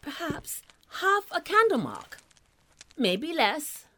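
Woman acting a very high-voiced, timid young lady. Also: big pitch swings, slow, pushed and bright, a little hiss or hum, exaggerated voice. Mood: timid, anxious. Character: timid, polite, anxious young lady of the court. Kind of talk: audio drama acting.

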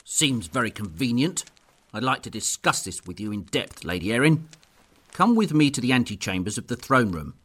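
Man acting a gruff, husky stern duke. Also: medium pitch, sing-song, normal speed, fairly bright tone. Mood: stern, sceptical. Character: gruff, stern, dismissive duke and father, middle-aged. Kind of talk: audio drama acting.

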